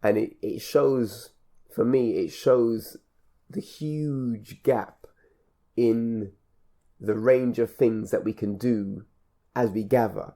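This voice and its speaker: A man speaking reflectively as an interviewer, in measured, formal wording with hesitant pauses. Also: mid-range voice, dark tone.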